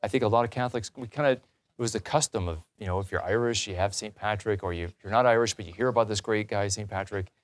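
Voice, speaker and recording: A man's voice: lowish voice, quick pace, dry recording. A man hosting a religious talk show in a conversational, earnest and encouraging way.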